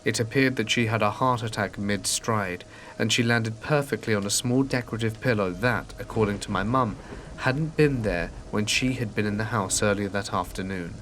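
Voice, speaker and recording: A man calmly reading true scary stories aloud in an even, scripted storytelling manner. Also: fairly low pitch, normal speed, slight background noise. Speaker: narrator of submitted stories. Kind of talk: calm scripted horror narration. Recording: faint rain ambience behind.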